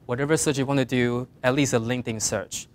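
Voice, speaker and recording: A middle-aged man with a Mandarin Chinese accent gives practical career advice in English, his second language. His voice sits at an ordinary middle pitch. He speaks earnestly, lifting his voice on key points and letting the ends of phrases trail off quietly. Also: steady tone, quick pace, a little hiss or hum. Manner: earnest, plain advice with emphatic rises and trailing quiet endings. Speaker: guest speaker. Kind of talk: career talk to design students.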